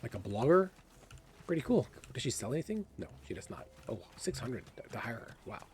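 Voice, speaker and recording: A man's voice: medium pitch, sing-song, fairly quick, a little mellow. A man hosting a gaming video with casual, chatty commentary, thinking aloud and enthusiastic about the game. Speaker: gaming video creator and host.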